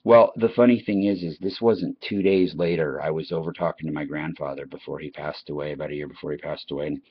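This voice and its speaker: Very deep-voiced American man, rambling casually. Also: steady tone, fast talker, husky, dry recording. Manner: chatty, anecdotal, repeats and circles back. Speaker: online channel host. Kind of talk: casual rambling reminiscence to listeners. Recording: dull, lo-fi, dry recording.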